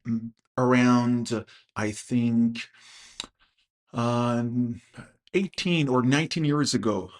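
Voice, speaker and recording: Slow, hesitant man in his thirties. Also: mid-range voice. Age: 30s. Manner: hesitant, many fillers and restarts. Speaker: podcast host, English teacher. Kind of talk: casual conversation.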